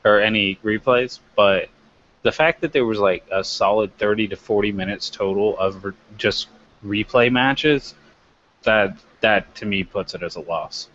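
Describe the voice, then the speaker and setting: Guy, lowish voice, blunt casual opinions, dull recording with some noise behind. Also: a little slow, fairly bright tone. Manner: blunt, critical. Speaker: co-host of a wrestling review show. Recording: dull, lo-fi, noisy background.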